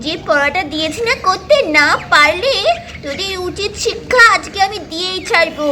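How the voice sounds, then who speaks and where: A woman's voice: extremely high pitch, expressive, normal speed, pushed and bright, full character voice. A woman voicing a vain, bossy, short-tempered young mother doll in a children's cartoon, with a haughty, scolding manner. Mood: bossy, angry.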